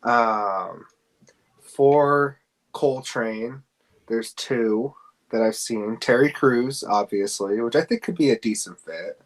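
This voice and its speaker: Male podcast co-host, mid-pitched, casual and a little halting. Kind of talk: casual podcast chat about fan casting.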